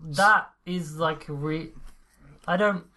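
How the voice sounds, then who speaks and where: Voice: mid-range voice, takes it slowly, bright, forceful tone. A podcast co-host in casual chat who swears freely, laughs mid-sentence and often trails off and restarts.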